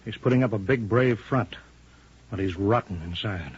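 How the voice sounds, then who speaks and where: An actor playing a cool, commanding male chief in a 1950s radio drama, speaking deliberately and with authority. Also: on the low side, breathy edge. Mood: grave. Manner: authoritative, calmly needling, deliberate. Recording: dull muffled 1950 radio broadcast.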